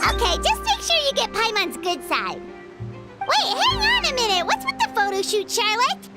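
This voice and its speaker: An extremely high, squeaky little fairy companion girl for a video game. She is chatty and cutesy, exclaiming with very lively intonation. Loud music plays behind her. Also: normal speed, full character voice. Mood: surprised, cheeky. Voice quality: squeaky, childlike. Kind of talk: video game character acting.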